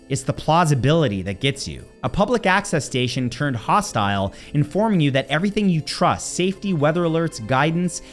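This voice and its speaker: Male presenter, fairly high voice, very animated, suspenseful scripted list narration with emphatic phrasing, music underneath. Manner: suspenseful, emphatic delivery, slowing on key words. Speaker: presenter of a countdown list show.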